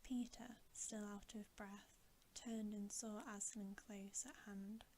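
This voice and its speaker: A woman reading a story aloud in a soft, breathy ASMR whisper, in a measured way. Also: medium pitch, level tone, a little hiss or hum. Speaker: ASMR storybook reader, channel host.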